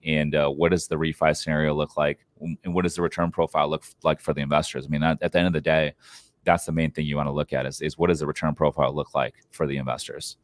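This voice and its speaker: A man with a very deep voice talks as an interview guest on an investing podcast. He is informal and emphatic, offering advice with some slang, and the sound is dry and close to the room-free. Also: flat delivery, quick pace, no room sound. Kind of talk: conversational interview answers on investing.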